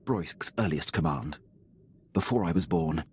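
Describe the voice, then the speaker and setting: An actor playing a dutiful, formal military officer in a video game, deferential and precise, with clipped phrasing. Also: male voice, sits low, measured pace, darkish tone, slightly rough, full character voice. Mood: dry, weary. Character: dutiful, formal male imperial military officer, loyal subordinate. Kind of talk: video game character acting.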